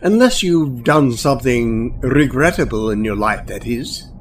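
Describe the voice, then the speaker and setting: A man playing a calm, matter-of-fact otherworldly guide to the newly dead in an audio drama, deliberate and detached. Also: medium pitch, natural voice. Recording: faint background noise.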